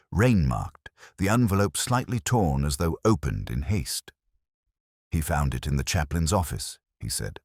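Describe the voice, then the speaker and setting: A man playing a world-weary, erudite police inspector, narrating a mystery in brooding, literary, measured phrases. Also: extremely low pitch, darkish tone, dry recording, clean studio sound, heavily acted. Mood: wry, somber. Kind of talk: scripted first-person mystery narration.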